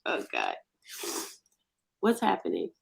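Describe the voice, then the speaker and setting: A woman's voice: a bass voice, huge pitch swings, takes it slowly, vocal fry. A woman talking casually in an interview about a creative project she is part of. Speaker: radio drama project member being interviewed.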